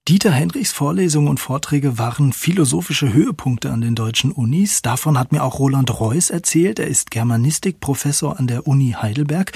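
A man presenting a scripted radio culture feature in a measured, clear way. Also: medium pitch, a little mellow, no room sound, clean studio sound.

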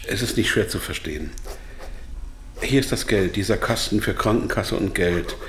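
A man's voice: fairly low pitch, moderate pace, roomy sound, a little hiss or hum, natural voice. An actor playing an evasive captive pharmaceutical salesman in a German radio drama. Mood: matter-of-fact, evasive.

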